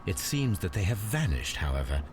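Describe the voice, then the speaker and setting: Deep victorian game detective, formal and analytical, swoopy intonation, noisy audio. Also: male voice, big pitch swings, brisk. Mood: analytical, musing. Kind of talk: video game voice acting.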